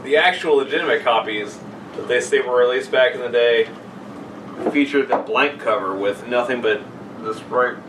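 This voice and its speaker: A man's voice: medium pitch, moderate pace. A young man, bantering crudely with friends and cutting in to heckle. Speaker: podcast co-host. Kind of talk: casual banter among friends. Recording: echoey noisy room, distant mic.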